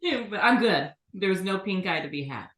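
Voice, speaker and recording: Woman, podcast co-host, bright voice, crude joking banter, roomy echo. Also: mid-range voice, expressive, fairly quick. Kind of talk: casual chat.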